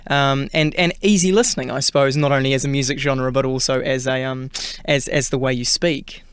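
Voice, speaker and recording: New Zealand male, interview guest, chatty with fillers, mid pitch, dry studio. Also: fairly even intonation, a little fast, smooth, dry recording. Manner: chatty, many fillers and restarts. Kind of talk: casual radio interview.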